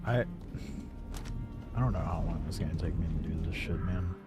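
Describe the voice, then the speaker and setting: A man chatting casually and informally, with some mild swearing, as he livestreams a game, with loud music behind him. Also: deep voice, normal speed. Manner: informal, mildly profane. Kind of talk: casual gaming livestream chat.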